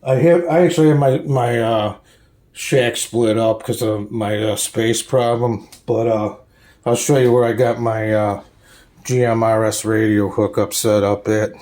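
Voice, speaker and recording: A man's voice: on the low side, fairly even intonation, warm tone. Rambling man giving a casual show-and-tell. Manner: rambling, halting, plain-spoken. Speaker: hobbyist host giving a radio gear tour. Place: small room at home.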